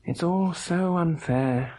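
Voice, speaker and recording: An actor playing a weak, gentle husband of about thirty in a radio drama, husky and halting, with a plaintive, weary manner. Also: male voice, on the high side, poor audio. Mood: weary, pleading. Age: 30s. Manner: halting, plaintive, self-doubting. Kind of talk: old radio drama acting.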